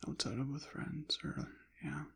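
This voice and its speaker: A man rambling softly in an ASMR style, slipping in and out of a whisper, with a hesitant, halting, apologetic manner. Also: mid-range voice, steady tone, creaky, breathy edge. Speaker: ASMR channel creator. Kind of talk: soft-spoken ASMR ramble, partly whispered.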